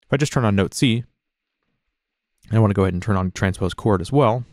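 A man narrating a casual step-by-step software tutorial in an informal, explanatory way. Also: lowish voice, fast, no room sound, studio quality.

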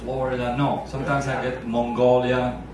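A man's voice: mid-range voice, completely flat delivery, moderate pace. Italian man joking with a lounge crowd. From Naples, Italy. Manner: joking, chatty, quizzes the crowd. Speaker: pianist entertainer. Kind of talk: playful stage banter with audience. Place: cruise ship lounge stage, large room. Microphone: handheld mic. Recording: echoey lounge from far off, audience noise.

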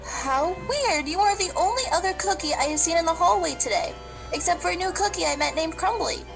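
A woman's voice: extremely high pitch, animated, brisk, vocal fry, over music, heavily acted. A woman playing a friendly, perky, outspoken cookie schoolgirl in a children's radio play. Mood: concerned, friendly.

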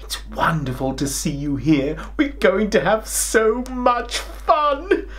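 A kindly, forgetful old king of a grandad, played by a middle-aged man, tells a story for small children. He takes his time, with a lively rise and fall in his expressive voice. His delivery is jolly and theatrical. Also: sits high, slow pace. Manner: theatrical, expressive, with hammed-up hesitations and chuckles.

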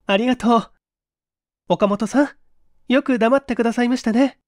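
Woman doing a polite young lady in an old mystery radio play, high voice, refined n pleading, very dry recording. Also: moderate pace, a little mellow, no room sound, close to an everyday voice. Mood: composed, polite. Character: Aiko, polite, composed young woman with a secret. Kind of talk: audio drama reading of a vintage mystery.

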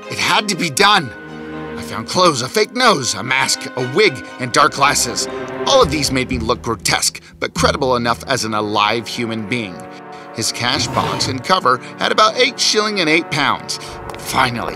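A man's voice: medium pitch, very animated, normal speed, music in the background, close to an everyday voice. A man playing an arrogant invisible scientist in a cartoon, telling his own story in a theatrical, boastful, scornful way. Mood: driven, frustrated. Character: arrogant invisible male scientist, gloating and restless. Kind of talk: dramatic first-person storytelling in a cartoon.

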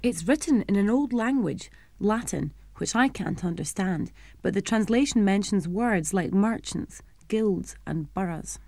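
A young adult woman presenting and narrating a schools history programme, explaining things clearly and simply for children. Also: mid-range voice, lively intonation, a little slow, few pauses, mellow, no echo.

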